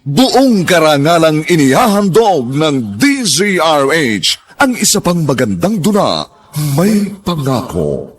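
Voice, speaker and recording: A man's voice: on the high side, big pitch swings, slow, husky. A man announcing and narrating a radio drama in a formal, dramatic way. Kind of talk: old-style radio announcing.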